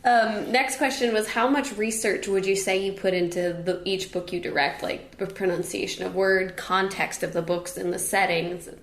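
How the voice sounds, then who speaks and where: A woman's voice: medium pitch, steady tone, moderate pace, few pauses. Woman interviewer in an echoey room. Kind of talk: casual chatty interview.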